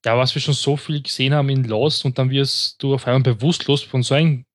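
Man, middle pitch, casual podcast discussion, co-host, questioning, sceptical, echo-free sound. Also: fairly even intonation, fast talker, clean studio sound.

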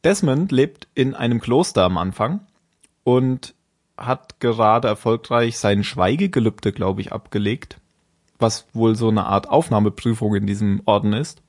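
A man's voice: on the low side, unhurried. A man casually recapping a TV episode on a podcast, rambling and correcting himself as he goes. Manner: rambling, self-correcting, with frequent fillers and laughs. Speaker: podcast co-host.

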